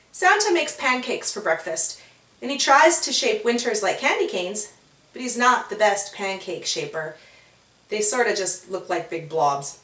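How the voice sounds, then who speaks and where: Female narrator for children's fiction. Highish voice, expressive, dramatic emphasis, recorded in an echoey room. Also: distant mic. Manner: dramatic, drawn-out emphasis on key words. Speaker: author and narrator of a children's story. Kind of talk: expressive scripted children's storytelling.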